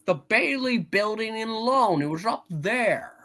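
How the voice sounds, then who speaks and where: A woman voicing a frantic, bewildered, exasperated family man, husky, in a hammed-up amateur play reading. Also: on the high side, animated, measured pace, a bit bright. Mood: pleading.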